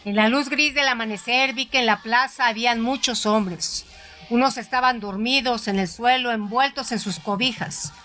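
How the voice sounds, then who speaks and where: A woman's voice: on the high side, moderate pace. A woman narrating a literary audio story in a dramatized radio storytelling style, with music behind her. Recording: soft music under the voice.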